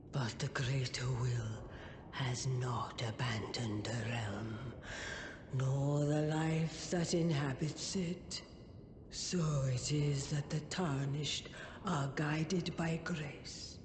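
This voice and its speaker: An actress voicing an ancient crone oracle in a fantasy game, breathy and solemn, intoning as if in prayer in a reverberant hall. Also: female voice, deep voice. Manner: incantatory, solemn, drawn-out. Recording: long hall reverb, clear ambient noise.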